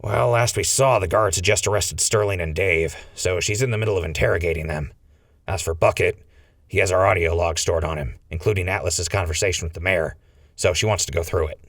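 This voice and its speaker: An actor playing a glib, wry male fugitive with a gravelly voice in a post-apocalyptic audio drama. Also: low-pitched, brisk, fairly bright tone, dry recording, studio quality, recorded from a distance. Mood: nervous bluffing. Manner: wry, bluffing. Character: Crispy, glib scheming male wasteland fugitive.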